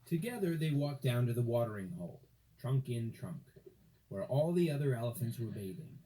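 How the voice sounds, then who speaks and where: Mellow, very animated male storyteller. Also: medium pitch, rough recording. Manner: dramatic, deliberate phrasing with emphasis. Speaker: storybook narrator. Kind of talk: expressive scripted children's story narration.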